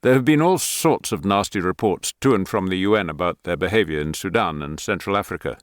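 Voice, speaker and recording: A man narrating a thriller audiobook in a measured, even reading on a close studio mic. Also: deep voice, dry recording.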